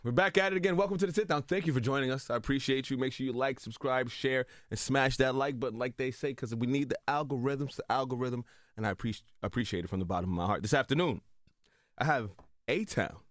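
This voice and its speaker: A man's voice: medium pitch, quick pace, no room sound, studio quality. A man hosting a casual interview show, upbeat and asking short follow-up questions.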